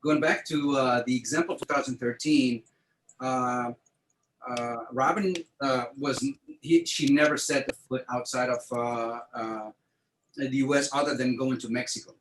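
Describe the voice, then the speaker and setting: A man's voice: mid-range voice, fairly even intonation, a little slow. Stammering storyteller man in an echoey room. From Tunisia. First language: French. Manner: repeats words, many restarts and fillers. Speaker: podcast host. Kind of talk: casual storytelling chat. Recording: echoey room, faint noise.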